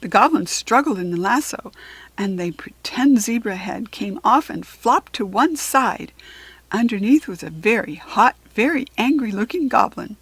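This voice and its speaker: A woman with an American accent reading a children's book aloud in expressive narration, with a breathy voice. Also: fairly high pitch, unhurried, no echo.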